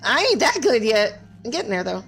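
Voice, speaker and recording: A woman's voice: fairly high pitch, sing-song, fairly quick, creaky, fairly bright tone, faint music behind. A woman live-streaming a game and chatting casually with viewers, her voice strained and scratchy, apologetic and full of fillers.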